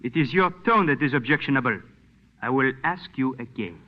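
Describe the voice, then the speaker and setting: An actor playing an officious French police inspector in 1940s radio drama, formal, terse and politely menacing. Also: male voice, medium pitch, expressive, fairly quick, close to an everyday voice. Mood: coldly formal. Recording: muffled old radio broadcast.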